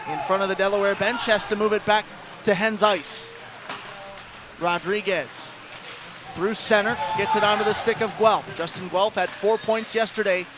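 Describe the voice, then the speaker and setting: A man with an American accent delivers live radio play-by-play of a hockey game. His voice sits high for a man. Clear noise from the rink runs behind him. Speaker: play-by-play announcer. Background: ice hockey game noise.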